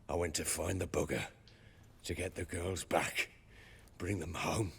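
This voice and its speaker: An actor playing a gruff, bitter, hot-tempered middle-aged baron and soldier in a video game, coarse and blustering in his talk. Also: sits low, steady tone, a little slow, exaggerated voice. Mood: dark, regretful. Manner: coarse, blustering, profane storytelling. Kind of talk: dramatic video game acting.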